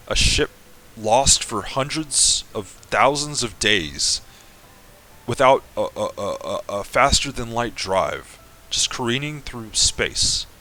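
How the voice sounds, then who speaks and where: A man's voice: fairly low pitch, bright, forceful tone. A young man reading through a game aloud, musing and thinking aloud between passages. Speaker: audiobook reader.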